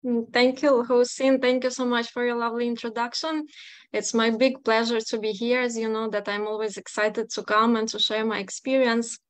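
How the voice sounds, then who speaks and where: A woman's voice: a little high, little pitch movement, moderate pace, no room sound. A woman in her thirties from Ukraine with a Ukrainian accent, giving a chatty webinar talk as guest speaker, full of "like" and "um". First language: not English. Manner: chatty, many fillers, frequent "like".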